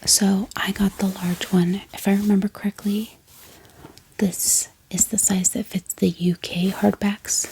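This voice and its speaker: A woman speaking in a hushed, breathy whisper, gently explaining things in an ASMR style. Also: medium pitch, poor audio. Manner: soft, hushed, unhurried explaining. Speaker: ASMR book haul host. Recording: clear background hiss, handling clicks.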